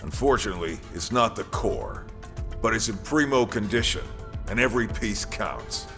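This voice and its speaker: A man playing a gruff, seasoned military commander in a video game, wry and laid-back. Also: on the low side, lively intonation, moderate pace, music in the background.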